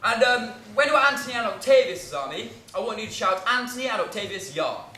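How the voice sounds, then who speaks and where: A man's voice: high-pitched, very animated, brisk, pushed and bright, heavily acted. An actor playing an exasperated amateur theatre director, bossy and theatrical as he marshals his cast. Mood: instructing. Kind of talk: acted comedy drama dialogue. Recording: echoey room, some distance, faint noise.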